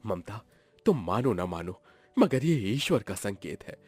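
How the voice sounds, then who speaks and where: A man voicing a gentle, worried young father with a soothing, patient manner in a story. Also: fairly low pitch, big pitch swings, unhurried, husky, dry recording, heavily acted. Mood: gentle pleading. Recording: music behind the voice.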